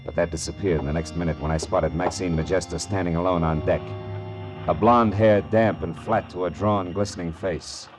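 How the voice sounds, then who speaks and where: A tough American private detective played by a man, speaking low in terse, wry phrases. He sounds like a classic 1940s radio gumshoe, with music in the production. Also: steady tone, moderate pace, lightly acted. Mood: grim narration. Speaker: lead actor and narrator. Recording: vintage radio drama with music.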